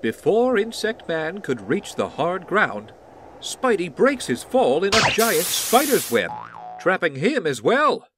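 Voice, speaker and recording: A man's voice: high voice, very animated, slow pace, a bit bright, a little hiss or hum. A man narrating a comic-book audio drama in a theatrical, announcer-like way.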